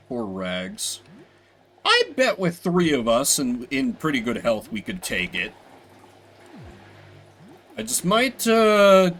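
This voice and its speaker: An excitable male streamer gives live commentary on a video game. His pitch leaps around a lot and his tone is bright and punchy. He talks in loose, sweary slang and reads bits of game text aloud.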